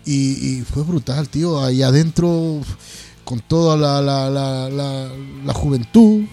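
A man chatting casually as a programme host, haltingly and often repeating words. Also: medium pitch, warm tone, faint music behind. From Ecuador. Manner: hesitant, repeats words as they go. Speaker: host of a heavy metal radio programme. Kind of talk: casual interview chat.

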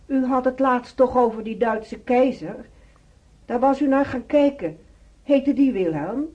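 An actress playing a chatty, opinionated middle-aged hospital roommate in a radio drama, insistent and self-assured, with a husky, mellow voice. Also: female voice, high-pitched, unhurried. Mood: prying. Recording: slightly dull radio play recording.

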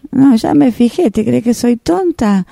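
A mellow woman's voice with fry, acting someone anxious in a radio drama. She is pleading, needy and a bit touchy, in a close, dry sound. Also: a little high, animated, a little slow, no echo, exaggerated voice. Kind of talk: amateur radio drama exercise.

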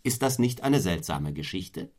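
Stern cold ambitious clergyman, radio play, lowish rough husky voice, very formal and deliberate. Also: lively intonation, a little mellow. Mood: measured storytelling. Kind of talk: German radio play acting.

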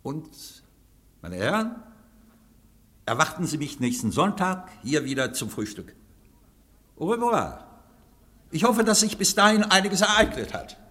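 A haughty anarchist chief barks orders and sneers at his council. His voice sits high and bright, swinging widely in pitch. It carries a long hall reverb, like a radio play recorded in a large room. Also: very animated, measured pace, pauses often, a little hiss or hum, heavily acted. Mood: mocking. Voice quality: imperious, scornful, booming. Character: commanding anarchist council president, imperious and mocking.

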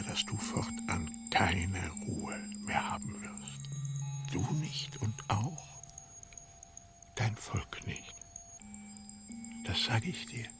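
An actor playing Pontius Pilatus, a wrathful Roman procurator, who talks in a low, threatening half-whisper in a radio drama. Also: male voice, exaggerated voice. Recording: radio play with music underneath.

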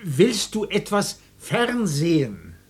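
A middle-aged father in a darkly comic radio drama. His voice swings widely in pitch as he fusses, hesitates and stammers, helpless and flustered. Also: huge pitch swings, slow pace, vocal fry, slightly rough, full character voice. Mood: solicitous. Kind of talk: German radio play, dark comedy acting.

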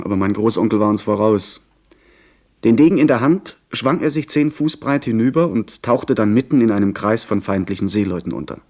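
Man as low-voiced young adventure narrator. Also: a little mellow, natural voice. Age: young adult. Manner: literary, dramatic storytelling. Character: young gentleman narrator of a pirate adventure, earnest. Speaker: narrator and character. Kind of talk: scripted radio play narration.

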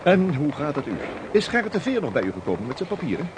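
An actor playing a polite, eager young sailor about to marry, in a period radio drama, with faint street noise. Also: male voice, fairly high pitch, wildly swooping pitch, fast talker.

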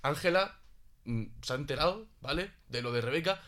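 Male actor as fed-up boyfriend, Spain accent, sharp bright voice, animated pitch, blunt, colloquial, audio drama. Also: fairly quick. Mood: frantic, fed up. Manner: agitated, repetitive, colloquial and blunt. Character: harried cheating boyfriend, defensive and fed up. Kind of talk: naturalistic audio drama acting, colloquial and sweary.

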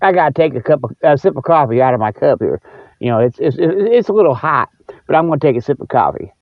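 High-pitched folksy man, rambling radio host. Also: animated, fast talker, highs cut off, a little hiss or hum. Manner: folksy, colloquial, teasing the listeners. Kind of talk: casual rambling comic monologue. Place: cold spot, apparently outdoors.

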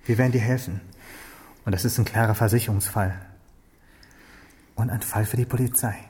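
A man's voice: on the low side, fairly even intonation, normal speed, a little mellow, slightly rough. An actor playing a tense, exasperated policeman and protective son in a radio crime drama. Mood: controlled.

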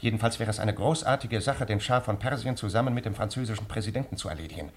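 A man's voice: fairly low pitch, quick pace, close to an everyday voice. An actor playing a genteel but bloodthirsty anarchist in a radio play, suave and relishing his words theatrically. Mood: urbane relish. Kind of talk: German radio play acting.

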